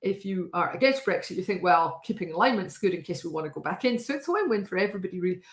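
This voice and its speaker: Woman, host, casual talk, wry, chatty, slightly hoarse, lowish voice, echoey room. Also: sing-song, fast talker. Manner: chatty, wry, many fillers and restarts. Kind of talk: casual live-stream talk.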